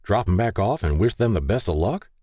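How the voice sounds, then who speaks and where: A man's voice: mid-range voice, huge pitch swings, moderate pace, mellow, husky, no echo, clean studio sound, pitched above the performer's own voice. A man voicing a kindly, candid older small-town wife in an audiobook. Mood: pleading, indignant. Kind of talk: audiobook character dialogue.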